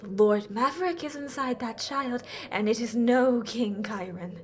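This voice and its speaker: A woman's voice: on the high side, a little slow, a little hiss or hum, close to an everyday voice. A woman playing a haughty siren empress in a fantasy horror audio drama, speaking in an imperious, theatrical, formal way. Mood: scornful.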